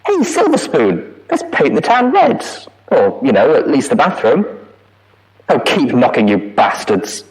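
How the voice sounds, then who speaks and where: A man's voice: mid-range voice, huge pitch swings, unhurried, pitched above the performer's own voice, rough recording. A man voicing an unhinged young mare who speaks in a bitter, manic sing-song and swears.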